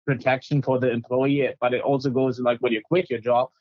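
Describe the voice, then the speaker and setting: A man's voice: mid-range voice, flat delivery, fairly quick, no echo, dull audio. Chatty German-accented man telling stories. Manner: chatty, laughs while telling stories, many fillers. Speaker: guest, company founder. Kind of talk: casual storytelling interview.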